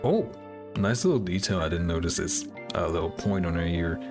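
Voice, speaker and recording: A man's voice: sits low, lively intonation, moderate pace. A man hosting a reaction video, commenting casually and hesitantly as he thinks aloud. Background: music.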